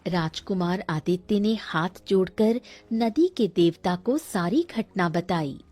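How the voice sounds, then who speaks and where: A woman's voice: fairly low pitch, expressive, slow pace, a little mellow, soft background music. A woman storyteller giving scripted narration of a fairy tale.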